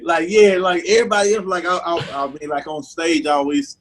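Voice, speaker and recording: A man's voice: fairly high pitch, fairly even intonation, fairly quick, slight background noise. Drawling Southern man with a lisp. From Mississippi, US. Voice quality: slight lisp. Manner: laid-back, drawling, says "man" a lot. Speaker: stand-up comedian guest. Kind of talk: casual interview chat.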